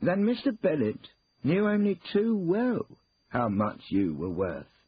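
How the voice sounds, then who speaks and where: Guy doing stuffy stern old-school lawyer for an audiobook, disapproving, formal, very swoopy pitch, bit muffled. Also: expressive, vocal fry, husky, heavily acted. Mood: insinuating. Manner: formal, deliberate, pompous. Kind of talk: acted audiobook dialogue.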